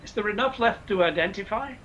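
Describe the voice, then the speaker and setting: A man playing a brisk, commanding Scotland Yard inspector in a live radio play. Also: high-pitched, a bit bright, highs cut off, a little hiss or hum. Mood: businesslike.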